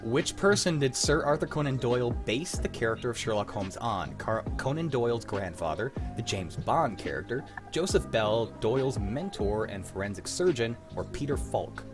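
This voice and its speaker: A man's voice: medium pitch, very animated, normal speed, few pauses, over loud music. A man hosting a casual quiz in a joking, crude manner. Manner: crude, jokey. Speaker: quiz host.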